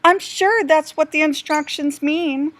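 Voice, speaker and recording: A woman's voice: high voice, a little slow, a bit bright, a little hiss or hum. A woman voicing a sensible, determined young girl in a storybook read-aloud, speaking firmly as she explains.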